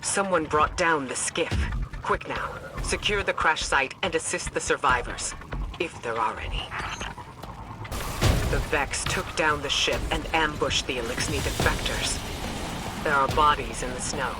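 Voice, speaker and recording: An actor playing a composed, urgent military mission commander in a video game, speaking in a crisp, tactical, commanding way. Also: male voice, fairly high pitch, a little slow, over loud music, natural voice. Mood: grim, urgent. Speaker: mission guide.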